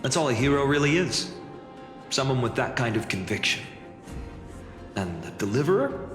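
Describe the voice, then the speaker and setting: A man's voice: medium pitch, big pitch swings, moderate pace, lightly acted. A man voicing a resolute, selfless soldier hero in a video game, earnest and steadfast. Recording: echoey hall reverb, music behind.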